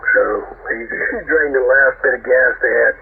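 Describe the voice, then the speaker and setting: A middle-aged man, a ham radio operator, chatting casually on the air in a rambling, halting way full of fillers. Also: medium pitch, vocal fry. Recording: tinny shortwave radio with static.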